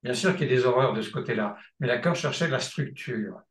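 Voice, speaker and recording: A man lecturing at a seminar in a reverberant room, with a middle-pitched voice. He thinks aloud, restarts his phrases and pauses on filler sounds, then drives a point home by repeating it. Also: a bit bright. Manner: hesitant, many restarts and filler sounds, emphatic repetition. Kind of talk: spontaneous seminar teaching.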